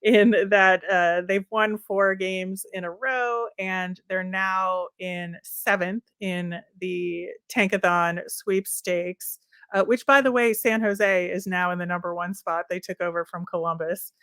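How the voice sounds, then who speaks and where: Woman doing casual hockey-style sports podcast chat, lowish voice, really dry close sound, hedges as she goes. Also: fairly even intonation, unhurried, fairly bright tone, smooth, no room sound.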